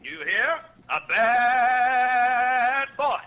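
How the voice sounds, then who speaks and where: High-voiced, animated scolding Santa Claus. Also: male voice, extremely slow, exaggerated voice. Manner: theatrical, stern reprimanding. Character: scolding, exasperated Santa Claus, jolly old man. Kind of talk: 1940s radio comedy acting. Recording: muffled, noisy old 1940s radio broadcast.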